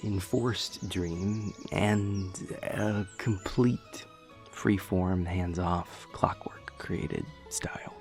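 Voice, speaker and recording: A man's voice: fairly low pitch, darkish tone. A young man playing a dorky, earnest boyfriend in an intimate audio roleplay, rambling hesitantly and nerdily with playful self-deprecation. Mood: sheepish. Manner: hesitant, rambling, nerdy explaining.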